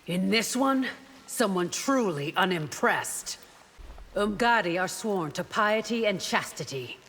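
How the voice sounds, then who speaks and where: Proud, stern warrior guard, reverberant. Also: female voice, mid-range voice, expressive, slow, a bit bright, a little hiss or hum. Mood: disdainful. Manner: formal, disdainful. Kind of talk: video game fight banter.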